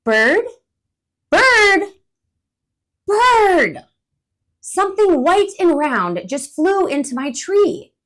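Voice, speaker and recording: Storybook squirrel, a woman putting him on: small, fussy, excitable, high and loud, animated. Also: takes it slowly, heavily acted. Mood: curious. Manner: exclamatory, high and loud, childlike questions. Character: excitable little male squirrel, curious and fussy. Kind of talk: storybook read-aloud voice.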